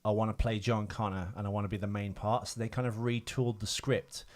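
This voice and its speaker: A man's voice: on the low side, flat delivery, fairly quick, darkish tone. A man co-hosting a podcast, chatting casually about films with sweary, joking banter. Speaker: podcast co-host. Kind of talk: casual film chat, trivia.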